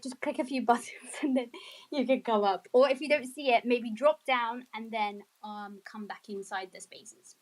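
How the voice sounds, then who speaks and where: Upbeat woman host with a brightish high voice, chatty and welcoming on a live audio stream. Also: poor audio. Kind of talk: casual live audio chat. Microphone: remote audio stream.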